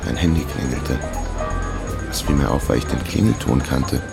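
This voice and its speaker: Dry laconic detective guy telling his own case, super low creaky voice, radio play, music behind. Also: normal speed, exaggerated voice. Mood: agitated. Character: wry male amateur detective narrating his own case. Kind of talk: scripted first-person crime radio drama narration.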